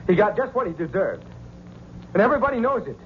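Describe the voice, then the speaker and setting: A man's voice: high-pitched, big pitch swings, quick pace. A man playing a bitter, impassioned condemned murderer, defiant and desperate, in 1940s radio drama style. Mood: desperate pleading. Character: bitter, pleading condemned male murderer, vengeful. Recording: tinny, faintly noisy old radio recording.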